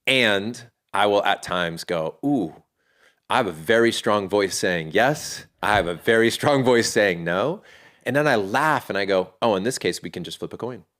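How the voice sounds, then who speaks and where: Middle-aged male guest, very low voice, earnest, thinks aloud with restarts. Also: lively intonation, normal speed, fairly bright tone. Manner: earnest and reflective, with frequent restarts. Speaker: podcast guest, fatherhood community founder. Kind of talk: casual interview talk.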